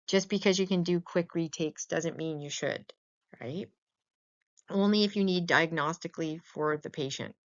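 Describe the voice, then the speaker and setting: Woman lecturing informally, dull lo-fi recording. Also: fairly low pitch. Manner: conversational, checks in with "right?" and rhetorical questions. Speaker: lecturer teaching veterinary radiography.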